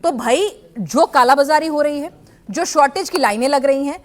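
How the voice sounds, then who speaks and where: A woman's voice: high voice, normal speed. A woman anchoring a heated TV debate, firmly cutting in to keep panelists in order. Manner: firm, keeps cutting in to restore order.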